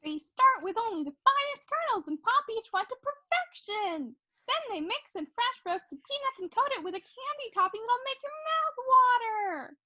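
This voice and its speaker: A woman voicing an eager little kid in an old-time radio commercial, with a chirpy sing-song pitch. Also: animated, normal speed, sharp tone, dry recording, full character voice. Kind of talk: 1930s radio ad acting.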